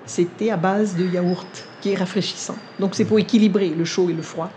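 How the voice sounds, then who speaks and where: Older woman, low and mellow, thoughtful. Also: steady tone, slight background noise. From India. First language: Vietnamese. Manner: measured, thoughtful, with frequent pauses and hesitations. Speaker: cookbook author. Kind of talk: sit-down interview. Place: living room indoors.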